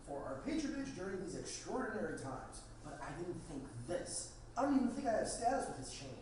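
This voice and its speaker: A man at a screenplay table read playing an innocent, nervous twenty-four-year-old man, gently sarcastic, with a fretful, questioning manner. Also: sits high, sing-song, brisk. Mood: explaining. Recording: very echoey room, distant mic, clear noise.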